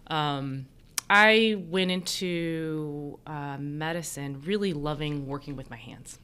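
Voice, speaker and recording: A woman's voice: deep voice, slow pace, pushed and bright, clean tone. A woman from the United States, a native Mandarin speaker, explaining thoughtfully as a physician in a reflective interview. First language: Mandarin Chinese. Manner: thoughtful, explanatory, hedging with "kind of" and "you know". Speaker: emergency physician being interviewed.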